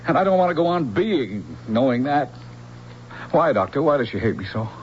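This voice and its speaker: Man playing an earnest lovelorn android, expressive delivery. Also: mid-range voice, normal speed, lightly acted. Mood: anguished. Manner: hesitant, stammering, deferential. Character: earnest lovelorn male humanoid android, humble and anguished. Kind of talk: 1970s-style radio drama acting. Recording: dull lo-fi radio recording, faint hiss.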